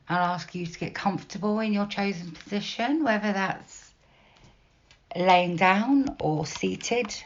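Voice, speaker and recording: Female meditation guide, low register, soft and unhurried delivery, plenty of pauses, slightly dull recording. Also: a little hiss or hum. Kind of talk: guided body scan meditation, gentle instruction.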